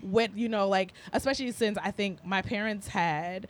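A woman's voice: mid-range voice, moderate pace. A woman in her thirties from the US, telling personal stories in a casual podcast chat. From US, Ghanaian family. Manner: animated storytelling, quoting herself.

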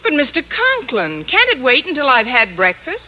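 Wry schoolteacher, very noisy old radio. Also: female voice, sits high, normal speed. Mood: dry, sardonic. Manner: dry, deadpan wisecracking. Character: wry, sardonic woman schoolteacher. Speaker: lead actress and narrator. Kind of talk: 1940s-50s radio comedy acting. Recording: muffled, noisy old radio broadcast recording.

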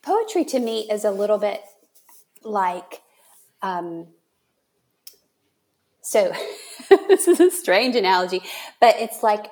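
A woman with a mid-pitched voice chats casually as a podcast host. She speaks haltingly, thinking aloud and feeling her way toward each comparison. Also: big pitch swings, darkish tone. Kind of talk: casual interview chat.

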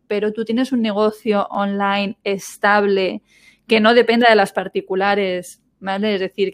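A woman's voice: mid-range voice, smooth. A woman hosting a casual conversational interview, chatty and full of little "¿no?" and "¿sabes?" tags. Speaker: podcast host.

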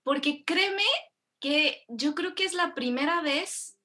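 Bright, lively woman host. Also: sits high, takes it slowly. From Mexico. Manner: animated, rambling with self-corrections. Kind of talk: casual conversational interview.

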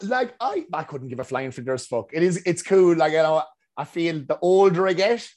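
This is a podcast host in his late thirties with a slightly high voice. He banters in a loose, animated way and swears often. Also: moderate pace. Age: 30s. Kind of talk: casual podcast chat.

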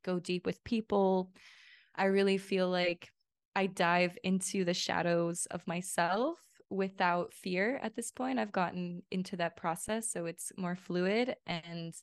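A woman guest who grew up between Lithuania and the United States, talking in a relaxed, reflective way with light laughs. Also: on the low side, smooth, dry recording, studio quality. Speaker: podcast guest, energy healer. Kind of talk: casual interview chat.

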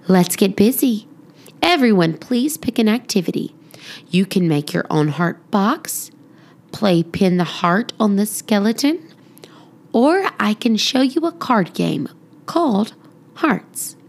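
A woman's voice: mid-range voice, lively intonation, takes it slowly. Lady doing a nice grade school teacher from a kids book, caring, explains stuff, voice goes up n down a lot. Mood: encouraging. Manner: caring, instructive. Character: kind, attentive female primary school teacher in a storybook.

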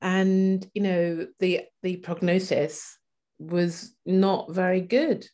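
A woman in her forties telling her personal story as an interview guest, reflective and halting as she pauses mid-thought. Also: lowish voice, steady tone, warm tone. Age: 40s.

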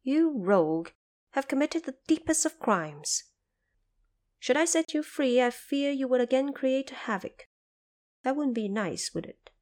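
A woman playing a compassionate female Bodhisattva in an audio drama, speaking in a measured, formal, instructive way. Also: sits high, lively intonation, darkish tone, pitched above the performer's own voice. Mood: stern. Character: female Bodhisattva, serene, compassionate, gently stern.

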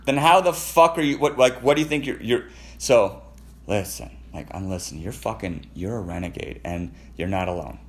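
A man's voice: on the low side, animated, moderate pace. A Canadian man telling personal stories in casual conversation, profane, rambling and emotionally intense.

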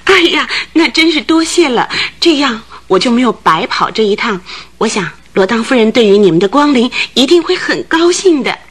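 A woman's voice: a little high, sing-song, moderate pace, breathy edge. An actress in a radio drama playing a nervous, talkative landlady who tells things in earnest detail. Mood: grateful.

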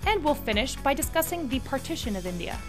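A woman co-hosting a scripted history podcast, explaining facts in a brisk way. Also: high voice, big pitch swings, music in the background. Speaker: podcast co-host.

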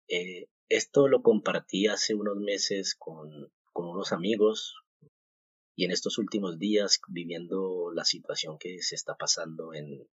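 A man from Colombia in his thirties hosting a podcast, sharing a devotional thought in a halting way, with many fillers and restarts. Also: deep voice, breathy edge, dry recording. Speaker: podcast host. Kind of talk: casual devotional talk. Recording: muffled, dull home recording.

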